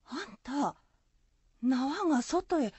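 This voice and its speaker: A woman's voice: high voice, expressive, extremely slow, warm tone, no echo, heavily acted. A woman playing an anxious, caring rustic wife in a folk-tale radio drama, fretful and questioning, with Shizuoka dialect. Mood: puzzled.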